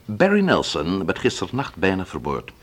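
An actor playing a composed, probing police inspector in a radio play, measured and courteous in his questioning. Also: sits low, wildly swooping pitch, heavily acted. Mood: probing, firm.

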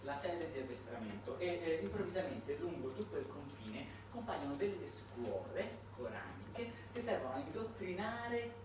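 A man delivering a satirical theatrical monologue in an emphatic, ironic, halting manner. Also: fairly high pitch. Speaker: stage monologuist. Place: roomy indoor performance space, far from mic. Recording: distant, echoey, noisy live theatre recording.

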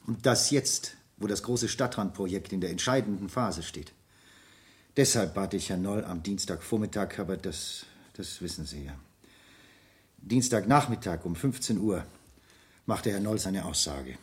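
An actor playing a formal, anxious businessman under suspicion in a 1970s German radio crime drama, speaking deliberately and defensively. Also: deep voice, breathy edge, exaggerated voice. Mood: anxious, confessing.

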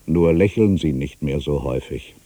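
An actor playing a melancholy writer in his fifties, musing haltingly to himself in a radio play monologue, with a gravelly, husky voice. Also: very deep voice, mellow, no room sound. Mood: wistful, animated. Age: 50s. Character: aging melancholy male writer back in his hometown.

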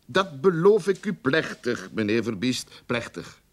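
An actor in a radio play playing a blustering, money-grubbing, lying detective agency boss with a sarcastic, exasperated manner. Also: male voice, medium pitch, sing-song, a little slow, noisy background. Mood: smooth, then grumbling. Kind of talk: radio drama acting.

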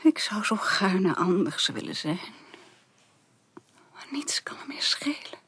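An actress playing a despairing, quick-tempered young woman in a period radio drama, speaking in an anguished, halting way that often nears a whisper. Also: medium pitch, sing-song, fairly bright tone, dry recording, heavily acted. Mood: despairing, confessional. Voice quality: husky, breathy. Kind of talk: Dutch radio play acting.

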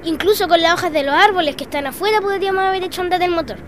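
A woman plays an inquisitive, talkative young boy in a family drama. The voice is extremely high, with faint noise behind it. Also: racing. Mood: curious, eager. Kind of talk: scripted educational drama dialogue.

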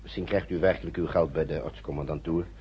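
A man's voice: a bass voice, fairly even intonation, fast. An actor playing a guarded, courteous male traveller in a radio play, hesitant and evasive in his speech. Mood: calm, cautious. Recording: dull noisy old radio recording.

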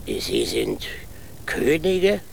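Gravelly, slow old beggar. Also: male voice, medium pitch, huge pitch swings, slight background noise, full character voice. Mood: puzzled. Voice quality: gravelly, creaky. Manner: slow, resigned, plain-spoken. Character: poor, humble old male beggar with a beard. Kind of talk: radio play acting.

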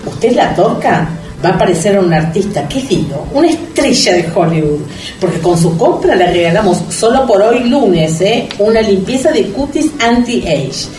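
Actriz haciendo de promotora argentina insistente, de entonación muy viva. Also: female voice, mid-range voice, animated, normal speed, darkish tone, close to an everyday voice. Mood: insistent. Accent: Argentine, Rioplatense. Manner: persuasive, theatrical sales patter. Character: slick, insistent cosmetics promoter, bossy and mocking. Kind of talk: comic radio play acting. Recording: roomy, distant from the microphone.

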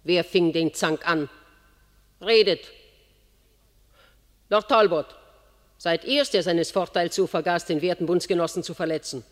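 A woman's voice: fairly low pitch, a little slow, pauses often, close to an everyday voice. An actress as an imperious queen. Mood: rebuking. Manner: declamatory stage verse, urgent. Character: imperious queen, pleading and rebuking. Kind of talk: classic radio play. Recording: long hall reverb.

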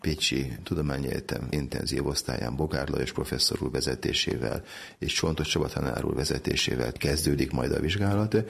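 A man's voice: rumbling low, creaky, husky. A man explaining medical research methodically as an interview guest.

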